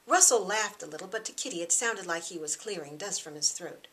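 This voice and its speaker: A woman's voice: lowish voice, lively intonation, fairly quick, pushed and bright, slight background noise. A woman narrating her own novel as a scripted audiobook, acting out the dialogue expressively. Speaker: author reading own novel.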